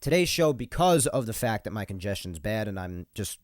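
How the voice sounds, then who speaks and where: A man's voice: lowish voice, sing-song, brisk, no echo, studio quality. A young man from New York hosting his solo podcast. He sounds a little nasal and congested from a cold, rambles, repeats himself and laughs between points. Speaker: podcast host. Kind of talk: casual solo podcast monologue. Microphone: podcast microphone.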